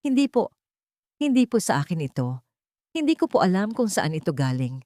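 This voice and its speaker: A humble servant woman played by a female narrator in an audio love drama. She pleads in bewilderment, respectful and trembling, with a mellow tone and dry sound. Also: on the low side, very animated, normal speed, no room sound. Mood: pleading denial.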